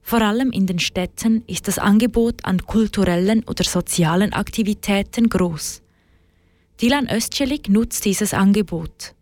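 A woman reporter reading a scripted radio news segment in an even tone. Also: mid-range voice, normal speed, no room sound.

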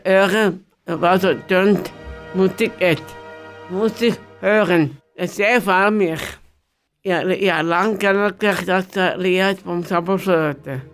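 A presenter announcing a song in halting, broken phrasing. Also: medium pitch, a bit bright.

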